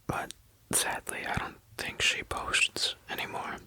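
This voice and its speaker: Slow soft whisperer, young adult. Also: low-quality recording. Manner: soft unhurried whisper with fillers. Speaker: new ASMR creator. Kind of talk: whispered ASMR chat.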